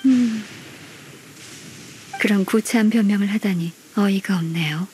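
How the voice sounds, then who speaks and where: A woman voicing a polite, dutiful young secretary in an anime-style game, formal, measured and firm. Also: medium pitch, a little mellow, slight background noise, natural voice. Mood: stern, interrogating.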